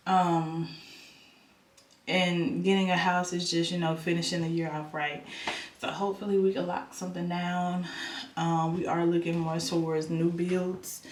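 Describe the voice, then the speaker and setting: A woman's voice: lowish voice, level tone, unhurried. Young woman vlogger, stuffy nasal voice, rambling casual delivery, echoey room, faint hiss. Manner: rambling, repeats herself, many ums. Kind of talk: casual vlog chat. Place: in her home. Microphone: camera on a stand.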